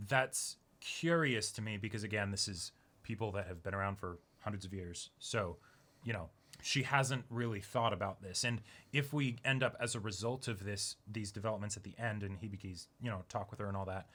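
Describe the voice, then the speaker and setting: A man's voice: lowish voice, fairly quick, fairly bright tone. A man co-hosting a casual discussion, thinking aloud in loose, conversational phrasing. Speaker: co-host of an anime reaction discussion.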